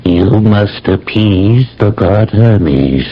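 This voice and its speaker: Slow, stern ancient priest. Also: male voice, lowish voice, lively intonation, slightly rough, exaggerated voice. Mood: stern solemn. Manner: solemn, authoritative. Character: stern, unbending male priest pronouncing sacred orders. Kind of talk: radio drama acting. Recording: muffled old radio broadcast.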